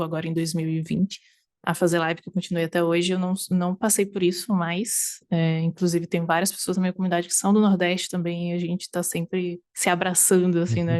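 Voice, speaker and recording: A woman's voice: on the low side, a little mellow. A woman with a slightly mixed Northeastern Brazilian accent from Sergipe, chatting informally as an interview guest, rambling a bit with frequent tag questions. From Aracaju, Sergipe, northeastern Brazil. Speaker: interview guest, variety game streamer. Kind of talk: casual conversational interview.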